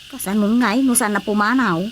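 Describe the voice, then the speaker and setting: A woman's voice: medium pitch, fairly quick, a little hiss or hum, natural voice. A woman acting a sympathetic village neighbour, full of exclamations and questions, in a radio comedy drama. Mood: casual, urging.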